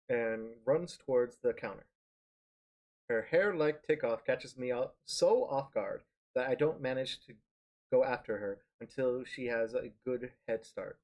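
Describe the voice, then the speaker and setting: A man reading visual novel narration aloud in a live stream, halting and correcting himself as he goes. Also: mid-range voice, darkish tone, rough recording. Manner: halting, stumbles and corrects words. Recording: music behind the voice.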